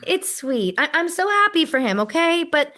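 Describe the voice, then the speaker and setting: A woman plays a modest female colleague in a conversational drama. Her voice is high and very animated, effusive and expressive. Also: normal speed, full character voice. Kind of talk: dramatized dialogue, natural conversation.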